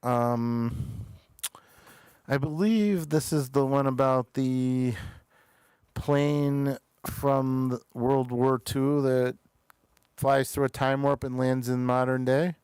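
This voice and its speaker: A man hosts a casual review chat at an unhurried pace. His voice is smooth and clean, with very little room sound, and he often hesitates to find his words. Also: mid-range voice, fairly even intonation, darkish tone, dry recording. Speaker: show host reviewing television episodes.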